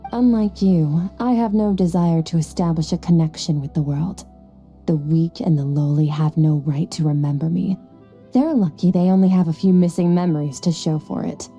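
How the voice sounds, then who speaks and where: A woman's voice: fairly low pitch, steady tone, moderate pace, warm tone, soft background music, lightly acted. A woman playing a cold, aloof and powerful young female warrior in a video game, curt, detached and condescending. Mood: haughty, dismissive.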